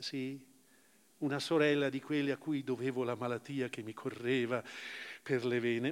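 A grave, reflective male survivor acted by a man recounts his memories on stage. His voice sits a little high and moves theatrically between emphatic swells and hushed phrases. Long hall reverb surrounds every word. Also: lightly acted. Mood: grimly deliberate. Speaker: actor reading a memoir on stage. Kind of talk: dramatic literary stage reading, first-person narration.

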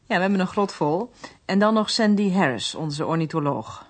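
A woman's voice: fairly low pitch, lively intonation, moderate pace. Casual, playful woman. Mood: chatty. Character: friendly, unattached woman marine biologist, lightly teasing. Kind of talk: radio drama acting, natural dialogue.

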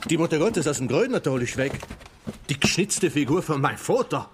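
A man's voice: on the high side, very animated, a little fast, a little hiss or hum. A man playing a devout, anxious performer in a German radio play, folksy and pleading. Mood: bewildered. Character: devout, anxious, bewildered Tyrolean mountain performer. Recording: faint music behind the voice.

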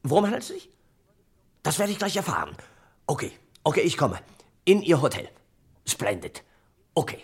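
An actor playing an eager, exclamatory amateur sleuth and inventor in an old German radio crime drama. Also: male voice, a little high, big pitch swings, normal speed, pauses often, slightly rough, heavily acted. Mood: awed excitement. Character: excitable inventor sleuth, crime-novel enthusiast.

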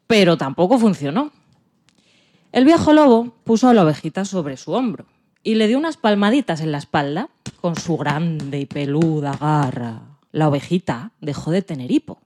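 A woman with a Spanish accent from Spain narrates a children's tale. Her voice is slightly low and mellow, and her storytelling is expressive. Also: moderate pace. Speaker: podcast presenter and story narrator.

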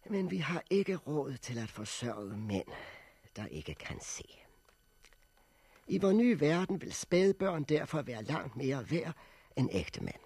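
An old, pedantic professor in a radio drama. His voice is husky and dry in sound, swinging widely in pitch as he lectures with formal, cool deliberation. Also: sing-song, darkish tone, no room sound. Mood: stern, emphatic. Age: older. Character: elderly male sociology professor, pedantic, coolly unsentimental orator. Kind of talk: 1960s radio drama acting, public speech.

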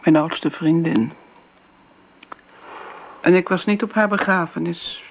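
A woman's voice: lowish voice, takes it slowly, gravelly, slight background noise. An actress playing an elderly, wistful and fussy family friend in a Dutch radio play, rambling and flustered as she justifies herself. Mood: wistful, ingratiating. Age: older. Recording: dull, band-limited old radio recording.

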